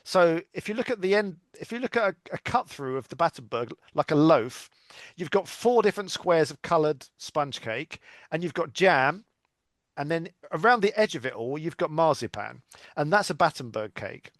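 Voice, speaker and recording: Middle-aged British male podcast guest, fairly high voice, dry close sound, blunt self-mocking chat. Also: lively intonation, moderate pace, fairly bright tone, no room sound. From United Kingdom. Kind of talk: casual chat.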